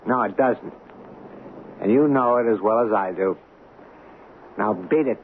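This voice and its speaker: A man's voice: lowish voice, measured pace, natural voice. An actor playing a tough, honest city policeman in a radio drama, blunt and sardonic. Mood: stern, contemptuous. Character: tough, honest, plain-spoken city beat cop. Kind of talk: old-time radio drama acting. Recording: tinny, noisy radio broadcast recording.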